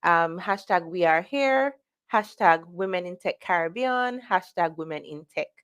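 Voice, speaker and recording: A woman's voice: fairly low pitch, animated, measured pace, fairly bright tone, clear, resonant tone, highs cut off. A woman with a Jamaican accent hosting an online conference, greeting viewers and introducing the next speaker. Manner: upbeat, welcoming roll call of viewers. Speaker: conference host. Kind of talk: livestream event hosting.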